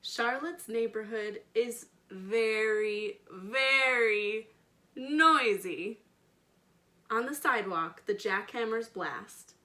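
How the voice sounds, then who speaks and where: A woman's voice: a little high, slow, bright, forceful tone. A woman reading a picture book aloud for children, talking to them directly and stressing key words. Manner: addresses children directly with questions and simple words. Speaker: storytime reader and children's educator. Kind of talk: children's picture book read-aloud with chatty asides to young listeners.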